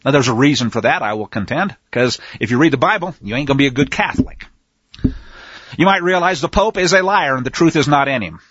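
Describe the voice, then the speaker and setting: Animated, husky-voiced man preaching scripture. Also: medium pitch, fast talker, no echo, muffled recording. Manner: emphatic, sarcastic asides, frequent restarts. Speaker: Bible teacher. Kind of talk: folksy scripture commentary.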